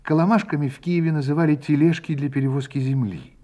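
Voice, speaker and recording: A man's voice: on the high side, steady tone, fast, dark tone. A man narrating a memoir radio play in a reflective storytelling manner. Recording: radio play with faint music.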